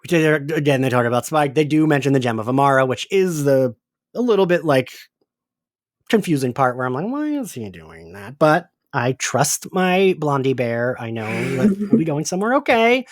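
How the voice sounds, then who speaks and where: A man's voice: mid-range voice, big pitch swings, moderate pace, dry recording, studio quality. A man co-hosting a podcast in casual chat, speaking emphatically with some swearing and restarted sentences. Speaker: podcast host. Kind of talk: casual chat, recapping an audio drama.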